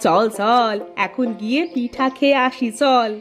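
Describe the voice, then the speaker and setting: A woman voicing a proud, quick-witted village aunt in a cartoon story, with an animated, sing-song manner. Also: a little high, music in the background, close to an everyday voice. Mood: eager. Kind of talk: Bengali cartoon story dialogue.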